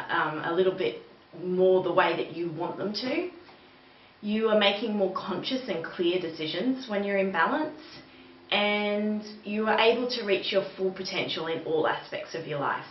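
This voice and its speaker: Low-pitched Australian woman in a roomy recording. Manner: conversational, explanatory. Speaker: webinar presenter. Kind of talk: informal webinar presentation. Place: small indoor room with bare wall, seated. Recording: roomy, distant mic, dull sound, faint noise.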